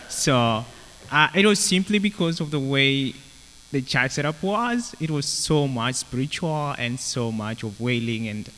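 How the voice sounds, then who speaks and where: A young man with a Kenyan accent giving a personal testimony in a halting, reflective way. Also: mid-range voice, a bit bright. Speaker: university student sharing a testimony. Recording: reverberant hall with humming noise.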